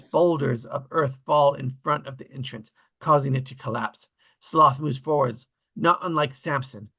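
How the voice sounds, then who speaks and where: Bright, husky man reading stage directions. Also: mid-range voice. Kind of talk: scripted narration at table read.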